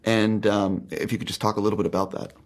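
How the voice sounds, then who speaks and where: A man's voice: on the low side, steady tone, quick pace. A man working as an interviewer, chatting in an informal, enthusiastic way as he puts questions to his guests. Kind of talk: casual, conversational interview.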